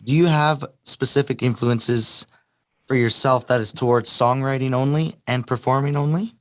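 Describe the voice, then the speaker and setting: Raspy-voiced male interview host. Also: mid-range voice, fairly even intonation, moderate pace. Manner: upbeat, short affirmations. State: recovering from lost voice. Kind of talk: interview hosting.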